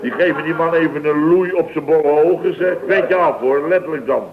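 A man's voice: a little high, fairly quick, natural voice. A man plays a grumbling older storyteller in a comic radio play. He is indignant and long-winded, voicing both sides of each exchange. The sound is thin and narrow, like an old broadcast. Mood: worked up. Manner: indignant, rambling, acts out reported dialogue. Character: grumbling, put-upon older man telling his escapades.

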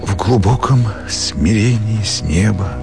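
A respectable, thoughtful country doctor muses to himself in a radio play. His voice is deep and rather slow, full of reflective pauses, over clearly heard music. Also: expressive. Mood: hushed awe. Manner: reflective interior monologue with drawn-out pauses. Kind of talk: radio drama monologue.

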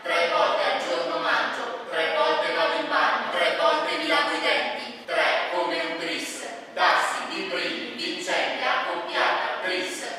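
A woman's voice: sits high, sing-song, slow, long pauses, sharp tone, distant mic, exaggerated voice. A woman playing a sardonic satirical narrator in a stage piece, reciting everyday lists and abuse in insistent, clipped phrases. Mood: deadpan listing. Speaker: stage performer. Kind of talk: rhythmic declaimed theatre monologue, listing. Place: live theatre stage. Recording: very echoey hall from afar, faint noise.

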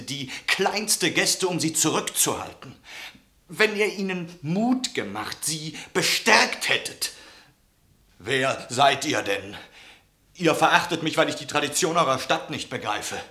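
An actor playing an agitated, reproachful outsider of conscience in a radio play, speaking with passionate exclamations in a roomy space. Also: male voice, mid-range voice, pushed and bright. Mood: despairing. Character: agitated, accusing man of conscience, outsider in town. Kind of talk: German radio play acting.

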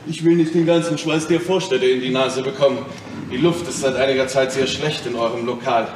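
An actor in a German radio drama playing a haughty, irascible gentleman, snobbish and peremptory. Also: mid-range voice, fairly even intonation, brisk, lightly acted. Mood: disdainful. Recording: roomy, distant, noisy radio scene.

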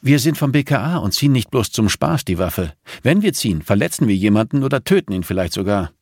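A man's voice: on the low side, big pitch swings, quick pace, darkish tone, dry recording, clean studio sound, pitched above the performer's own voice. A man voicing a tough, serious female police officer with a firm, forthright manner in an audiobook. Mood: stern.

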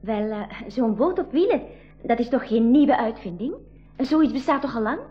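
A woman's voice: on the high side, animated, normal speed, faint music behind, close to an everyday voice. A woman playing a curious, polite but outspoken young girl in an educational radio drama, with a Flemish accent and an inquisitive manner. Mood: curious, doubtful. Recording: dull 1980s radio drama recording.

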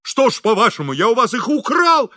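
A man acts out a henpecked, excitable male engineer from a comic storybook. The voice is high and bright, swooping from melodious pleading calls to indignant shrieks. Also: a little slow. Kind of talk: audiobook character acting.

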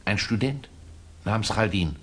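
An actor playing a self-important, flustered Russian prince in a 1960s German radio play, insistent and pompous. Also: male voice, fairly low pitch, animated, measured pace, fairly bright tone, husky, heavily acted. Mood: agitated, insistent.

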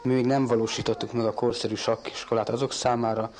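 A man, the girl's father, speaking in a formal, deliberate way in an archival radio interview. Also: medium pitch, flat delivery, noisy background. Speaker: father of the girl.

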